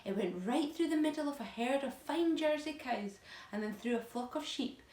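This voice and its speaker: A woman reading a children's story aloud like a teacher to pupils, in a dramatic, emphatic, expressive storytelling style. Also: high-pitched, normal speed, reverberant.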